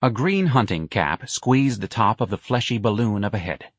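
A male audiobook narrator with a fairly low voice reads literary prose aloud. His delivery is measured and expressive, with articulate phrasing, and the recording is close and dry. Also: breathy edge, no room sound.

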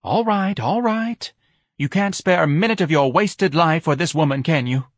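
A man's voice: a little high, moderate pace, dry recording, clean studio sound, pitched above the performer's own voice. A man voicing a melodramatic, self-righteous middle-aged wife in an audiobook, grandly reproachful and scornful. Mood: theatrical, gushing. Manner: overwrought, martyred, grandly reproachful.

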